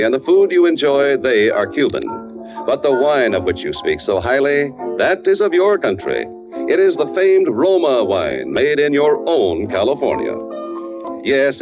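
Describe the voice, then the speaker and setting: A man announcing a scripted 1940s radio advertisement in a polished, formal selling style. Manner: polished, formal sales pitch. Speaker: commercial announcer. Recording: dull vintage radio recording.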